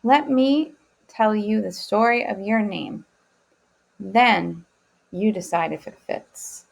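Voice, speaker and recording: A woman reading the part of a gentle father telling a story to a child in a picture book. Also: mid-range voice, takes it slowly, creaky. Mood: gentle, reassuring.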